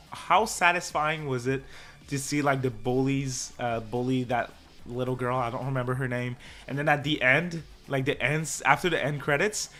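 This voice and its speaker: A man with a French accent, co-hosting a casual podcast and rambling through his thoughts with restarts. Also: mid-range voice. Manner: relaxed, rambling with restarts and laughter. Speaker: podcast co-host. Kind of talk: casual chat.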